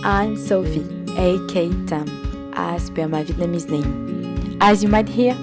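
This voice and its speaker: A young woman with a French accent hosting a casual interview, speaking slowly and laughing often. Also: fairly low pitch, over loud music. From France. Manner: slow and giggly, laughs often. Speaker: host.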